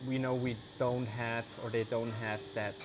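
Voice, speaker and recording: A man speaks English as an in a loose, conversational way, leaning on "like" and "you know". His voice sits at a middling pitch for a man. The recording is outdoors, with crickets and insect noise plainly heard behind him. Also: normal speed. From Switzerland. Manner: relaxed, many "like" and "you know" fillers. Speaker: guest, vegan cheese maker. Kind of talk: casual interview.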